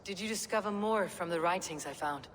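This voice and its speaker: Woman acting a low-voiced stoic Viking warrior woman. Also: fairly quick, a bit bright, close to an everyday voice. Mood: dry, wry. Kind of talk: video game dramatic acting. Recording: reverberant with faint background noise.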